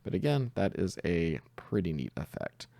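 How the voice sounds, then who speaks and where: Guy doing a laid-back coding walkthrough, low creaky voice, close dry mic, explains it step by step. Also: big pitch swings, moderate pace, mellow, no echo. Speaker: programming tutor. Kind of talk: casual screen-recorded coding tutorial.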